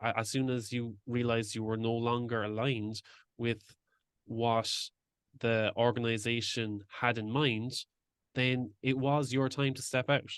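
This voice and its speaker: A man's voice: mid-range voice, flat delivery, unhurried, clear, resonant tone, no echo, clean studio sound. A man hosting a podcast interview, speaking earnestly and reflectively and sharing his own experiences in casual chat. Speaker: podcast host.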